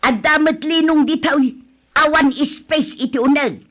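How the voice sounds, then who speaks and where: A woman's voice: high-pitched, measured pace, fairly bright tone. Radio drama mother, middle-aged woman acting: proud, envious, scolding, loves to show off. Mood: boastful, stubborn.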